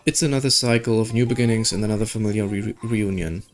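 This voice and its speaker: A man's voice: fairly low pitch, quick pace, natural voice. A man voicing a wistful, resigned female keeper of memories from a video game, reading the lines aloud. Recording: background music under the voice.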